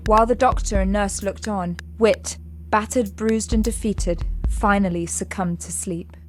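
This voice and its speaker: A woman narrates an audio drama at a medium pitch, with music clearly playing beneath her. She reads scripted, dramatic narration as the storyteller. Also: steady tone, a little slow.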